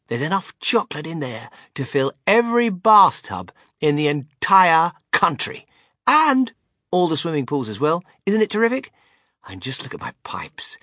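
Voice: very animated, moderate pace, husky, no room sound, studio quality, heavily acted. A storybook narrator voicing an exuberant, boastful, eccentric man who owns a chocolate factory, in a theatrical, emphatic delivery. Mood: proud, showy. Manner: theatrical, emphatic, swooping between hushed and shrill.

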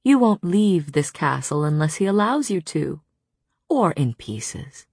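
A woman's voice: low-pitched, big pitch swings, measured pace, creaky, darkish tone, breathy edge, no echo, studio quality, heavily acted. A woman voicing a taunting, monstrous male warrior villain in an audiobook, crooning and sneering. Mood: smug, menacing.

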